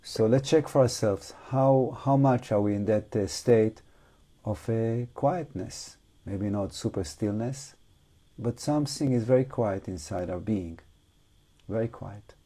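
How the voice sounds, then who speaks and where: A man with a fairly low, very soft and dark voice teaches meditation in non-native English. He speaks off the cuff in a reflective, halting way, leaving long pauses between short phrases. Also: slightly rough. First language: not English. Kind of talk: unscripted guided meditation talk.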